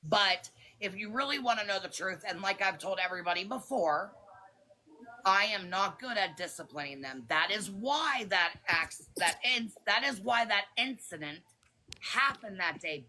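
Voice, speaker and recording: A woman with a raspy smoker's voice, talking defensively and bluntly on a phone livestream. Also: medium pitch, lively intonation, normal speed, pushed and bright, slight background noise, rough recording. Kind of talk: heated live chat.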